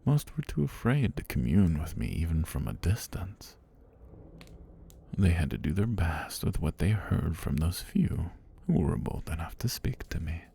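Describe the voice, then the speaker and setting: Man as a breathy, deep serpent god. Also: big pitch swings, dark tone, heavily acted. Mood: wistful, gentle. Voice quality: husky, breathy low voice. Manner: slow, deliberate, formal speech with whispery delivery. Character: ancient male serpent god, calm, predatory yet tender. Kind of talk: intimate ASMR roleplay monologue.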